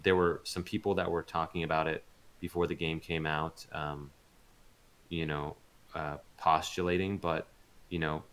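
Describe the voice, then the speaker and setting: A man chatting casually as a voice actor being interviewed, thoughtful and halting as he searches for words. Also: a bass voice, fairly bright tone.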